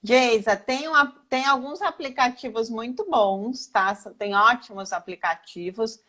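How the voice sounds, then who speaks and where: A woman's voice: on the high side, unhurried, pushed and bright. A woman with a Brazilian accent giving an informal, didactic explanation as a financial planner, posing questions and answering them herself. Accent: Brazilian Portuguese. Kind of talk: informal explanatory talk in a live online session.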